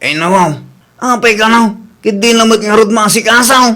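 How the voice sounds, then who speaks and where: An eager accomplice in a radio play, with a high, bright and sharp voice. He approves of the scheme and is keen to join in. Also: moderate pace. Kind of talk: radio drama acting.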